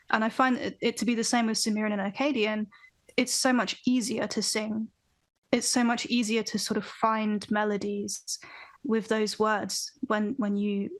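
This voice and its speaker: A young woman, a band vocalist guest on a podcast, talking in a thoughtful, animated way with frequent restarts over a video call from a room at home. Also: mid-range voice. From Britain. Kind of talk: casual interview chat. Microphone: video call, webcam.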